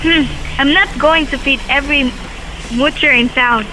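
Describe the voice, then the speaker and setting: A woman's voice: high-pitched, moderate pace, natural voice. A woman acting a busy, stingy, cold older brother in an amateur audio drama. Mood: scornful, grudging. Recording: noisy amateur recording.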